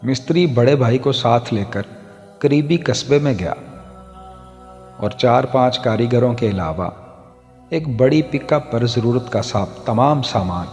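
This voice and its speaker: A man narrating a scripted moral story as a storyteller, in a measured, formal literary manner. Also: medium pitch, darkish tone. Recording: long hall reverb, music underneath. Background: background music.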